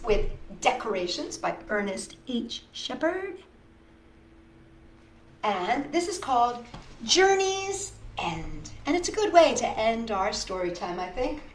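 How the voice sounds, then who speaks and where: A woman with a high voice hosts storytime for little children in a roomy, echoing space. She is playful and sing-song, inviting young listeners in with questions. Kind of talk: reading picture books aloud and chatting to young children. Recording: roomy echo.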